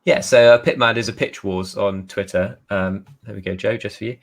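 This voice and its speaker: Guy from somerset, lowish voice, toned down farmer accent, self-deprecating, casual interview chat. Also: steady tone, normal speed. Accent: softened West Country English, Somerset. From Somerset, England. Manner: giggly, self-deprecating, makes an effort to sound well spoken. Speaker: guest author.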